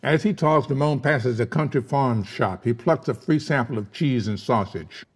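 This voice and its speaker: A man's voice: mid-range voice, moderate pace, husky. Casting: male narrator for screenplay directions, middle-register voice, scripted delivery. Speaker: narrator reading stage directions.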